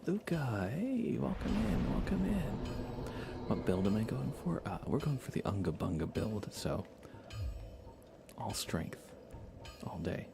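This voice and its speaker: A man's voice: lowish voice, sing-song, measured pace, creaky, music in the background. A man hosting a casual ASMR game livestream, chatty and informal, sometimes slipping toward a whisper. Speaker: livestream host.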